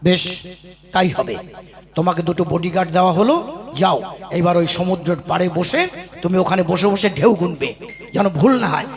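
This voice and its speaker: Male actor as a high, rough-voiced cartoon king, exasperated and bossy. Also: a little fast, long pauses, a crowd in the background. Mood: grumbling. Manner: exasperated, lordly scolding. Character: grumpy, puzzled male puppet king. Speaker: king in a puppet show. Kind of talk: comic puppet show acting.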